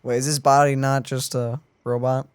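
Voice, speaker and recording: A man co-hosting a game reaction video, playing and chatting in a relaxed, joking way. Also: mid-range voice, moderate pace, background noise. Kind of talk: casual reaction chat.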